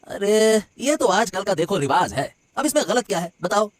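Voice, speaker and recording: A man voicing a meddling, placating servant of a rich household in a cartoon story, excitable and chatty. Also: high-pitched, normal speed, dry recording. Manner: excitable, chatty, brushing off worries. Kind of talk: Hindi cartoon story dialogue.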